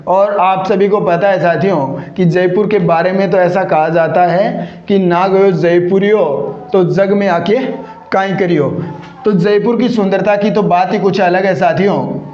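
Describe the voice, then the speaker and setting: A man's voice: high-pitched, darkish tone. A man teaching a grammar lesson, who asks himself rhetorical questions and answers them. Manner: addresses viewers as friends, repetitive drilling. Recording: echoey room, dull lo-fi sound.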